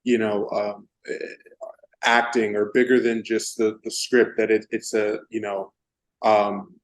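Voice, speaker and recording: Halting man searching for words. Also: lowish voice, little pitch movement, low-quality recording. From Texas. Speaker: interviewer. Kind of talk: casual interview.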